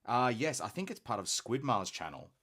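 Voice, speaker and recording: A man's voice: mid-range voice, moderate pace. A man from Australia with a rather posh-sounding accent, chatting casually while he paints on a live hobby stream. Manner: relaxed, chatty, self-deprecating.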